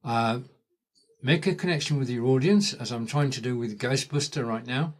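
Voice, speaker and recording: A man's voice: medium pitch, normal speed. A man from Lancashire with a northern English accent, hosting a casual live stream and chatting with viewers in a rambling, friendly way. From Lancashire, England. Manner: chatty, thanks viewers by name, rambling asides. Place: home in rural England.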